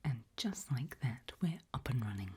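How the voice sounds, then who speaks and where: A woman softly whispering an ASMR roleplay, questioning the listener in a deliberate, gently ominous way. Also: extremely low pitch, very animated, a little hiss or hum. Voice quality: soft breathy whisper, mostly unvoiced.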